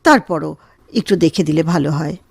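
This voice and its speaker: A woman playing an affectionate, gently insistent wife in a radio drama, with an expressive, tender delivery. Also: low voice, normal speed, gravelly, husky, dry recording, full character voice. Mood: coaxing. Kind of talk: Bengali radio drama acting.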